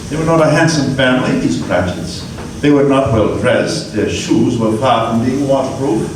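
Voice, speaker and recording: A man's voice: medium pitch, distant mic, noisy background. Man narrating on an echoey stage. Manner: measured, expressive. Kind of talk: dramatic storytelling narration. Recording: echoey live stage from afar.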